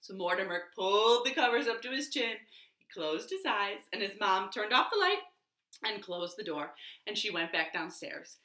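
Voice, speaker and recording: A woman telling a children's story in an animated, expressive way with dramatic pauses, as a library storyteller. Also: medium pitch. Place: living room. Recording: roomy, echoey home recording.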